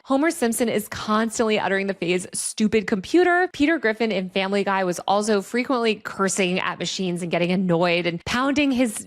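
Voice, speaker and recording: A woman hosting a video essay on her channel, explaining in a conversational, part-scripted way with casual slang. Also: medium pitch. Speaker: host of a tech and online culture video channel.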